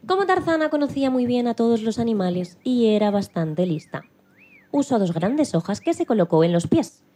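Very animated woman storyteller. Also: fairly high pitch, moderate pace, slight background noise. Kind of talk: dramatised children's story narration.

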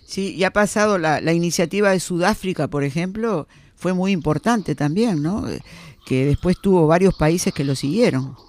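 A man interviewing a guest in Spanish, asking long, carefully framed questions in a measured way. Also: high voice, darkish tone, no echo.

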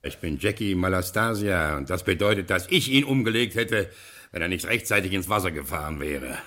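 A man's voice: low voice, expressive, a little fast, fairly bright tone. An actor playing a boastful, self-assured mafioso businessman in an old radio crime play. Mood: smug. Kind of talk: 1950s radio drama acting.

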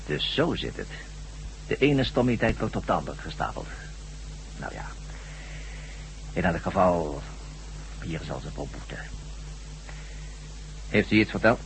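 Condescending interrogator, radio drama villain, lowish voice, sardonic, menacing, faint noise and hum. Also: male voice, sing-song, a little slow, pauses often, heavily acted. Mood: angry, impatient. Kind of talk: Dutch radio drama acting. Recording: noisy radio recording with hum.

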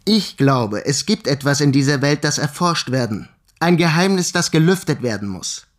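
An actor playing an earnest, persistent scientific investigator in a radio play, speaking in a polite, formal and insistent manner. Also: male voice, on the high side, expressive, normal speed, close to an everyday voice.

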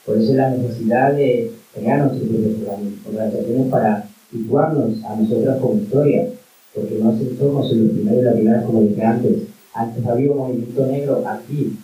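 A man's voice: medium pitch, fairly even intonation, brisk, highs cut off. Impassioned man, far off in a roomy hall. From Colombia. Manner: impassioned, argumentative, full of fillers like "o sea" and "en plan". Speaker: panel speaker, migrant activist. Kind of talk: speaking at a public talk. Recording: roomy hall, voice picked up from afar, faint hiss.

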